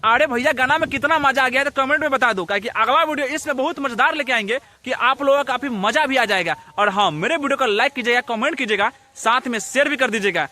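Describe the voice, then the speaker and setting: A man's voice: very high voice, fast talker, hardly any pauses, pushed and bright. A man with a Bhojpuri accent hosting a celebrity gossip video in teasing, exclamatory scripted comic narration. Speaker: host of a Bhojpuri entertainment gossip video channel.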